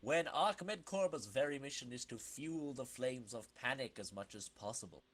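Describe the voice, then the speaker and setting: A man's voice: mid-range voice, expressive, normal speed, fairly bright tone, a little hiss or hum. A man co-hosting a podcast, joking casually as he helps read a comic. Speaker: podcast co-host. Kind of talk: casual joking banter during comic reading.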